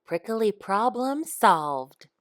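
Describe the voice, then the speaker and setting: A woman reading a children's picture book aloud in an expressive storytime style. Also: mid-range voice. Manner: expressive, unhurried. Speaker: storytime reader.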